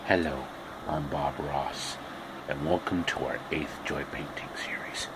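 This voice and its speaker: A man playing a gentle, folksy television painting instructor with a hushed, breathy murmur. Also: rumbling low, steady tone, measured pace, heavy background noise, pitched below the performer's own voice. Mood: soft, soothing.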